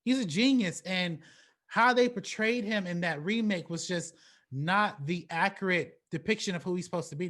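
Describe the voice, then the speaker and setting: A man with a slightly high voice hosts a casual film discussion. He speaks in loose, slangy, emphatic phrases with some swearing, often stuttering over a word and repeating it before he gets to his point. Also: fairly bright tone. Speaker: talk show host. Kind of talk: casual movie commentary talk.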